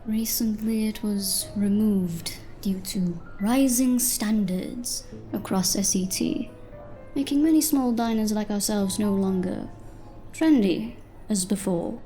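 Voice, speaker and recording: A woman's voice: medium pitch, takes it slowly, dark tone, faint music behind, lightly acted. A woman playing a polite, uneasy owner of a small diner in an audio drama. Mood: uneasy, guarded. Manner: polite, hesitant.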